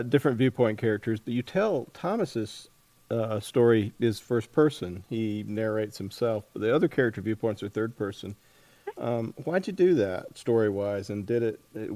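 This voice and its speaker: A man hosting a casual book interview, speaking in a halting way as he thinks aloud. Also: mid-range voice, a little mellow, no room sound. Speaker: podcast host interviewing an author.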